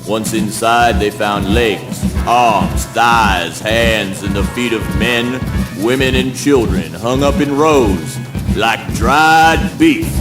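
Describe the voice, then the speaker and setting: Male actor as macabre storyteller, mid-pitched, mock-solemn, deliberate, theatrical, loud music behind. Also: natural voice. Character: grim, mock-solemn narrator of gruesome history. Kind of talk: scripted narration in spoken word play.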